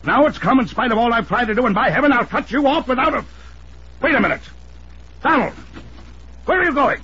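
An actor playing a pompous, controlling older uncle in 1950s radio drama style, short-tempered and given to formal, blustering speech. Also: male voice, very high-pitched, lively intonation, fairly quick, pushed and bright, exaggerated voice. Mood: angry, blustering. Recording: muffled hissy old radio recording.

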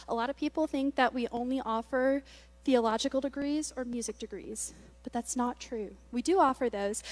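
A young woman in a church sanctuary speaks into a handheld mic, introducing her college music group in an upbeat, chatty way. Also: high-pitched. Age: young adult. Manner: upbeat, warm church talk with filler words. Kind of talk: church stage announcement. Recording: church music playing behind the voice. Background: soft instrumental music.

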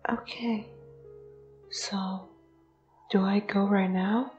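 Casting: female actor as meek, anxious ghost for audio drama; breathy, stammering, drawn-out delivery, muffled recording. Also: mid-range voice, fairly even intonation, roomy sound, soft background music. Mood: shy, apologetic. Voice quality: soft and breathy, often whispery. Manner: hesitant, halting, stammering. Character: shy, apologetic, anxious young ghost. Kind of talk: fan audio drama acting.

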